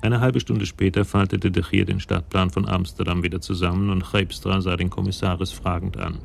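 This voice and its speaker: Male narrator for radio crime drama: low, creaky voice, sober scripted delivery. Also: flat delivery, brisk, over music.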